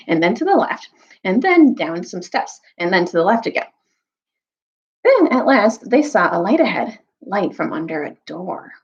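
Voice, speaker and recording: A woman reading and retelling a story aloud in an expressive storytime style, like a teacher with students. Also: medium pitch, dull audio. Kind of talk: storytime read-aloud with recap.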